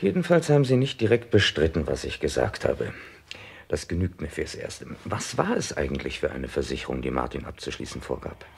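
Shrewd, wry police chief, very low and animated, courteous, measured, vintage roomy radio drama sound. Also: male voice, creaky, full character voice. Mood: probing, ironic. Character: shrewd, courteous male chief inspector, gently ironic. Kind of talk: 1960s German radio crime drama acting. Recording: roomy 1960s radio recording, faint noise.

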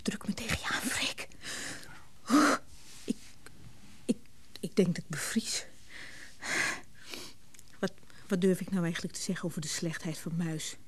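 Breathy, distraught grieving woman. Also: fairly low pitch, expressive, heavily acted. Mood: fragile, shivering. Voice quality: breathy, often whispering. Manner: halting, repeating words. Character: distraught grieving woman, confused, guilt-ridden and clinging. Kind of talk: radio drama acting.

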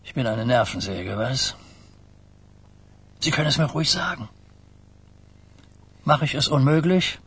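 An actor playing an old, wary mafia boss in a German radio crime drama, speaking in deliberate, blunt phrases. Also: male voice, medium pitch, expressive, fairly bright tone, husky, dry recording, heavily acted. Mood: candid. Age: older. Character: old New York mafia boss, burdened, wary, courteous.

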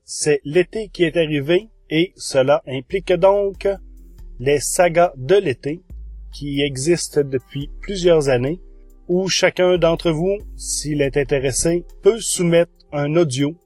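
A man presents a podcast review monologue. His voice sits a little high and is mellow rather than bright. The sound is close and dry. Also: unhurried, dry recording, faint music behind. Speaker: podcast host.

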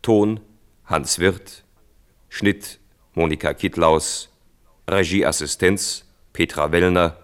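Slow, deep-voiced male radio announcer. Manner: measured, formal. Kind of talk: formal scripted closing credits announcement.

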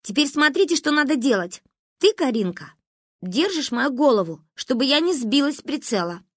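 A high, very lively little girl. She is stubborn and bossy, with an insistent, theatrical delivery. The sound is close and dry. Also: moderate pace, no echo. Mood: bossy, solemn. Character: stubborn, bossy, dramatic little girl, wailing when hurt.

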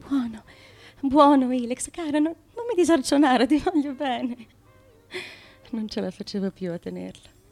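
An actress playing a murdered young queen of ancient Corinth in a radio drama, dazed and proud, with a breathy, incantatory, repetitive delivery. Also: high-pitched, normal speed, mellow. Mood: dreamy, remembering. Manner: incantatory, repetitive, shifting from murmurs to cries. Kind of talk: theatrical radio drama monologue and dialogue.

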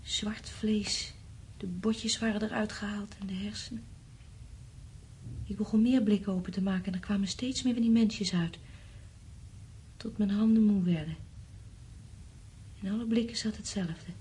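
An actress playing a feverish, frightened wife in a radio play, speaking intensely in a husky, breathy voice. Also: female voice, mid-range voice, steady tone, mellow, rough recording. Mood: dreamy, haunted. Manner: intense, halting recounting and insistent questioning. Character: feverish, frightened wife, haunted by bleak dreams.

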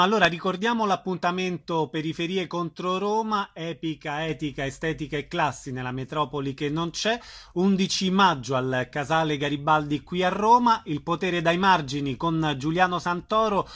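A man working as a radio interviewer. His voice sits a little high for a man, and he talks in a conversational interview style. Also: normal speed, fairly bright tone.

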